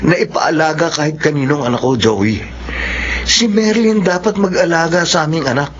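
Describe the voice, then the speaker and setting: A man's voice: a little high, moderate pace, natural voice. An actor playing a worried, stubborn young father with a Filipino accent in a radio drama. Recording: dull radio recording, faint noise.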